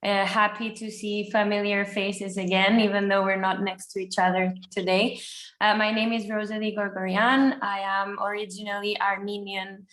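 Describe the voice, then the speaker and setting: A woman in her thirties from Cyprus introducing her career on an online panel, steady with fillers between phrases. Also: mid-range voice, moderate pace. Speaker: panel guest. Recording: roomy echo.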